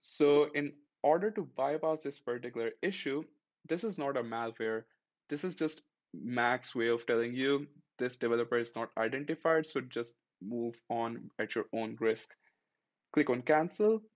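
A man hosting a tech tutorial, talking through software setup step by step in a friendly, matter-of-fact way as a screen-recorded voice-over. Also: mid-range voice, moderate pace, muffled recording.

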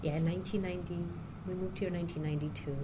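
A woman's voice: fairly low pitch, racing. A middle-aged woman from Puerto Rico who grew up near Chicago, answering questions in an oral history interview, talking unhurriedly with many ums and restarts. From Puerto Rico, raised near Chicago. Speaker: interviewee, university diversity director. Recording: steady background noise.

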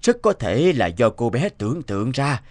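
A man's voice: mid-range voice, sing-song, moderate pace, a little mellow, no echo, close to an everyday voice. A man voicing a sober, authoritative middle-aged doctor in a cartoon, formal and explanatory. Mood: grave, explaining. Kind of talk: cartoon voice acting.

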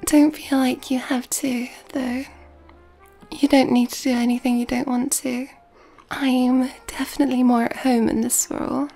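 A woman playing a nurturing, gently dominant, affectionate girlfriend in an ASMR roleplay, in a soft breathy whisper. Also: high voice, flat delivery, normal speed, soft background music. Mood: tender, reassuring.

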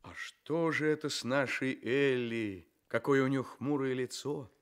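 An actor playing a courteous, playful storyteller with theatrical, drawn-out emphasis in a children's radio play. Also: male voice, medium pitch, clean tone, natural voice. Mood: soothing, grand. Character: courteous, playful male storyteller addressing fairy-tale characters. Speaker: storyteller and host.

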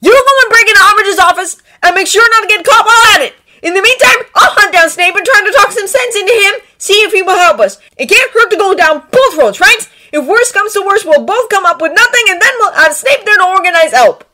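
A woman's voice: extremely high pitch, expressive, fairly quick, fairly bright tone, slight background noise, pitched above the performer's own voice. A woman voicing an exasperated, argumentative teenage boy in a dramatized story reading, emphatic and insistent. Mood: urgent, grim.

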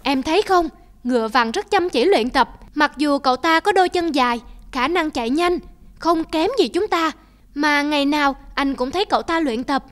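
A woman's voice: sits high, moderate pace, slight background noise. A woman voicing a diligent, protective older brother antelope who lectures earnestly in a cartoon. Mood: earnest, urging. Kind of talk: cartoon voice acting. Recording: loud music under the voice.

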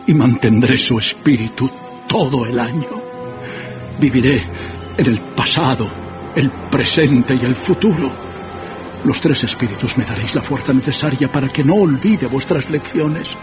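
An actor playing a repentant old miser, fervent and exclamatory, in a theatrical radio drama. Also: male voice, mid-range voice, unhurried, close to an everyday voice. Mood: earnest imploring. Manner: exclamatory, emotional, repeats words. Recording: dull band-limited audio, music underneath.

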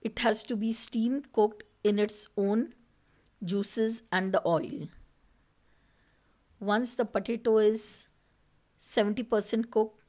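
Slow, measured woman cooking host. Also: mid-range voice, fairly even intonation, dark tone. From India. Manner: slow, step-by-step instructing. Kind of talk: recipe voice-over narration.

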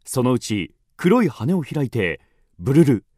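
A man's voice: mid-range voice, very animated, a little slow, a little mellow, no echo. A man narrating a children's radio drama as a scripted storyteller.

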